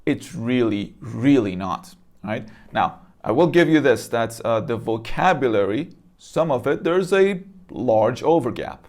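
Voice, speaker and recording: A man from Iran presents in a casual, explanatory way. His voice sits at a medium pitch. He talks conversationally, as if checking that the viewer follows along. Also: animated, unhurried, few pauses, darkish tone. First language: Persian.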